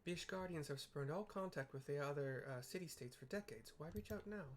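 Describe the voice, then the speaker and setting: A man voicing a composed, gracious female leader from a fantasy game, with formal, measured wording. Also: on the high side, lively intonation, lightly acted. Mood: wondering. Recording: clear background noise.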